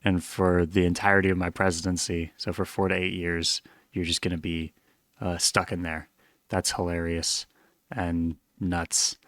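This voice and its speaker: A man's voice: deep voice, little pitch movement, moderate pace, fairly bright tone, no echo, clean studio sound. A man giving casual, wry reaction commentary with joking asides, as the host of a reaction video.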